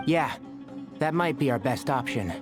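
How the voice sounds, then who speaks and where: An actress playing a no-nonsense, sharp-tongued young woman leading an expedition in a video game, dry and commanding. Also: very deep voice, expressive, moderate pace. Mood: exasperated, firm. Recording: game music clearly behind voice.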